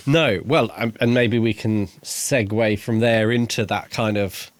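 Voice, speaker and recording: Low-voiced man, halting, close dry sound. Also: dry recording. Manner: hesitant, halting, hedging as he builds a thought. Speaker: podcast co-host reviewing a book. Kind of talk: casual conversational book discussion.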